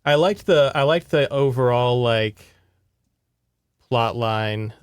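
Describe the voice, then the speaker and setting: A man's voice: medium pitch, takes it slowly, smooth, dry recording, studio quality. A man co-hosting a podcast, giving his opinions in casual, conversational talk. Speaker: podcast co-host. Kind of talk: casual chat, reviewing a film.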